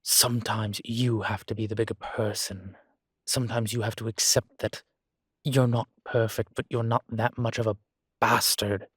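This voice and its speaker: A man playing a guilt-ridden, gentle and wry ancient vampire in an intimate audio roleplay, speaking in a halting, reflective way. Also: on the low side, level tone, slightly rough, dry recording. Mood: earnest, defensive. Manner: halting, reflective, with sighs and soft chuckles. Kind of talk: intimate one-sided audio roleplay acting.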